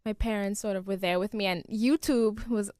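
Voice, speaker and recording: Bubbly teen girl, smooth dry voice. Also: medium pitch, fairly even intonation, normal speed, a little mellow, dry recording. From Bahamas. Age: teenager, 15. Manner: bubbly, giggly, chatty. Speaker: guest singer. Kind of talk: casual interview. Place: radio studio.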